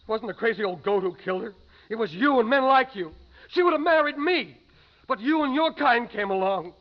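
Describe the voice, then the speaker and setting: A high-pitched, bitter young man with a gun, accusing and spiteful. The delivery is 1950s radio melodrama, heard through a dull, muffled vintage recording. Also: animated, brisk. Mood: jealous bitterness. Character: bitter, jealous young male killer with a gun. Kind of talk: 1950s radio drama acting.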